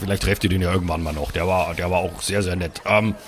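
A man's voice: deep voice, steady tone, fast talker, a little hiss or hum, lightly acted. A man voicing a polite, earnest male adventurer who speaks in a hesitant, wordy way. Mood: hesitant, protesting.

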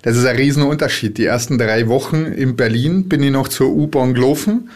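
A man's voice: medium pitch, a little fast, a little mellow. A man from Carinthia with a Carinthian Austrian accent, a podcast guest telling chuckling anecdotes in casual chat.

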